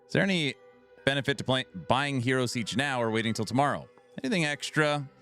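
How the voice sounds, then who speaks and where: A man's voice: medium pitch, a little fast, a bit bright, soft background music. A man streaming live, joking and bantering with his chat in a mock-defensive way. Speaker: streamer reacting to a video.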